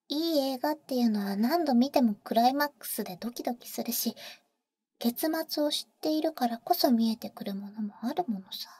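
A woman playing a teasing, protective vampire big sister in an ASMR roleplay, speaking in an intimate, soft whisper close to the mic with cool, boyish phrasing. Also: a little high, breathy edge, no room sound. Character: teasing, protective female vampire, older-sister type to a boy. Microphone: close microphone, dry.

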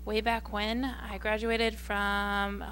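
A woman's voice: medium pitch, flat delivery, slow, a bit bright, slight background noise. A woman in her thirties introducing herself as a new teacher at a board meeting, a little hesitant, speaking into a handheld mic. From Wisconsin. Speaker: new high school French teacher. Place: school board meeting room.

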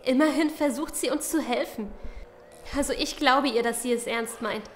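A high female voice with room echo, an actress playing an anxious, peace-making survivor in a radio play. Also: normal speed, close to an everyday voice. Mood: earnest. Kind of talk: German audio drama acting. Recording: echoey room, faint noise.

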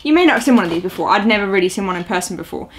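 A young woman talks casually to a camera from a small room at home, her voice sitting at an everyday middle pitch. She rambles through an unboxing with plenty of ums and uhs, and a faint hiss sits under the recording. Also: lively intonation, racing. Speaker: video host. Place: small indoor room at home, seated on a sofa.

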